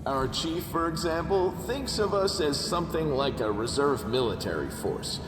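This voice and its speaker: A man's voice: on the high side, animated, moderate pace, close to an everyday voice. An actor playing a wry, self-deprecating mongrel man from underground in a video game, with a sardonic, conversational manner. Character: wry, self-deprecating male mongrel cave-dweller with horns. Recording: echoey hall, music behind.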